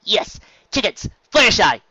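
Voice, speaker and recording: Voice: mid-range voice, sing-song, measured pace, pushed and bright, husky, no echo, muffled recording, voice pushed up, full character voice. A reader voicing a flustered, boastful female unicorn pony in a storybook reading, stammering and blurting urgently. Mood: frantic, hurried.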